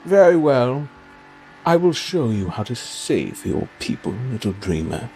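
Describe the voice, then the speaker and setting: A man performs an ancient, sly immortal for an audio drama. His delivery is languid and swooping, slow and teasing, over clear background music. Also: mid-range voice, sing-song, mellow. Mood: sly, ominous. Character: sly, theatrical, millennium-old immortal man.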